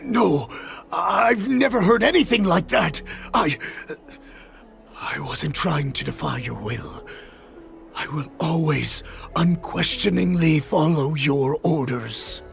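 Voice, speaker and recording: A man voicing a fervent, servile wolf underling in a video game, gravelly, reverent and melodramatic. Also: on the high side, takes it slowly, fairly bright tone, faint music behind, full character voice. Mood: cowed, pleading. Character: fervent, servile male wolf underling, younger pack zealot. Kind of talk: dramatic video game voice acting.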